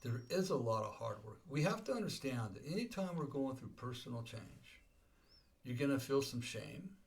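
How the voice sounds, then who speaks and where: A man's voice: mid-range voice, big pitch swings, moderate pace, creaky. A man in his sixties hosting a life coaching podcast, talking casually and often repeating words and checking "okay?". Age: 60s.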